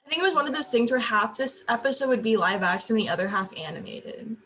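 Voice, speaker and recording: A young woman with a slightly high voice on a casual podcast. She drops quick asides and side comments into the conversation. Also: a bit bright. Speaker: podcast co-host. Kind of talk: casual chat.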